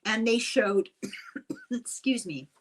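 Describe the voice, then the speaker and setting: A woman's voice: a little high, animated, very slow. An older woman hosting a casual interview, asking inquisitive questions and wandering into asides as she talks. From Ireland. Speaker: host and interviewer.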